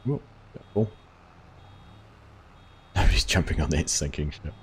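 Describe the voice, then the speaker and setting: A man's voice: extremely low pitch, animated, measured pace, slight background noise. A man hosting a gaming livestream, chatting casually and reading viewers' comments aloud. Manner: rambling, reads chat aloud and answers viewers.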